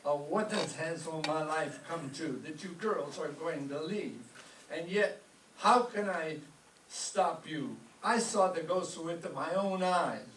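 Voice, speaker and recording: A man's voice: a little high, slow pace, fairly bright tone. A man playing a melodramatic, put-upon old maestro who wisecracks sardonically in an old-time radio comedy. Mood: mournful. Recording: echoey room, distant mic, faint noise.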